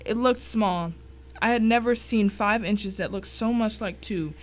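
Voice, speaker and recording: A woman's voice: medium pitch, a little slow, close to an everyday voice. A woman playing a grim, wry thriller narrator who recounts a dangerous ordeal in scripted first person.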